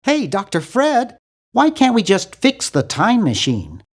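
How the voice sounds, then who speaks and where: A man voicing a nerdy, earnest and anxious young hero in a video game, with a fussy, animated delivery. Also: sits high, measured pace, breathy edge, full character voice. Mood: worried, pleading. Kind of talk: video game character voice lines.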